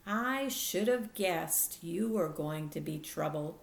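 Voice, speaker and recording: A gentle, distracted preacher dad from a children's storybook, voiced by a woman. His words come out slow and drawn out, mild and absent-minded, with room echo around them. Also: lowish voice, animated, vocal fry, exaggerated voice. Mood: mild, resigned. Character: distracted, gentle preacher father of a young girl.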